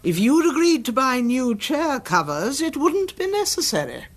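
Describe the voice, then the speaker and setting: Radio drama: domineering older wife, brisk, high-pitched, hugely animated intonation. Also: female voice, full character voice. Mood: brisk, insistent.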